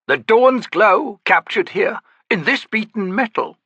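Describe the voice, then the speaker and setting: A man's voice: sits high, lively intonation, a little slow, bright, forceful tone, breathy edge, dry recording, highs cut off, pitched above the performer's own voice, exaggerated voice. A man voicing a pompous, flowery male trickster scholar who talks about himself in the third person, in an audiobook. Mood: grandiloquent. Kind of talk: audiobook character voice.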